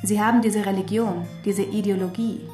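An actress in a German radio drama playing a knowing teacher who explains history in a deliberate, emphatic way. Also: female voice, mid-range voice, steady tone, roomy sound, lightly acted. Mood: grave, earnest. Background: music.

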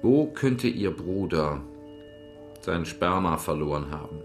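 An actor in a German radio crime drama, speaking as a calm, probing investigator and storyteller. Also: male voice, sits low, slow pace, over music.

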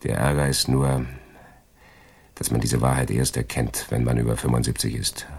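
An actor playing a loyal, philosophizing crook in a German radio crime drama, with a husky, expansive way of talking. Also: male voice, a bass voice, steady tone, normal speed, a little mellow, full character voice. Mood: reflective. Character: loyal, philosophizing male crook, the caring accomplice.

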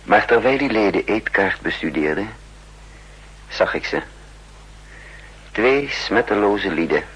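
A man's voice: on the low side, fairly bright tone, exaggerated voice, poor audio. An actor playing a suave, wily male spy in a 1960s Dutch radio drama, nonchalant and theatrical. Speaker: actor and narrator. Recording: 1960s radio recording with faint hum.

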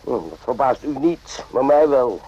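An actor playing an irritable, suspicious army medic in a radio drama, with a gruff, interrogating manner. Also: male voice, medium pitch, sing-song, a little slow, warm tone. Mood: brooding, suspicious. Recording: dull lo-fi radio play recording.

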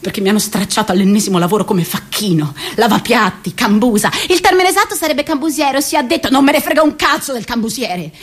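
A woman playing a restless, defiant, jobless thirty-year-old scooter rider in a radio theatre monologue, halting and agitated, with a husky voice. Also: fairly high pitch, animated. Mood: bitter, frustrated. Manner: halting, repetitive, agitated, swinging between murmurs and outcries.

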